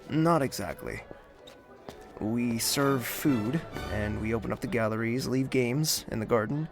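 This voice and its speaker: A man's voice: mid-range voice, measured pace, music in the background, natural voice. A man playing a reserved young prince in an audio drama, speaking formally and guardedly with dry wit. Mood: gently formal. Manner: formal, guarded, gently teasing. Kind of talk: audio drama dialogue and narration.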